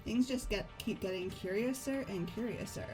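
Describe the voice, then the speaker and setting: A woman's voice: mid-range voice, moderate pace, low-quality recording. A woman reading out character dialogue as part of a game playthrough with friends, music behind her.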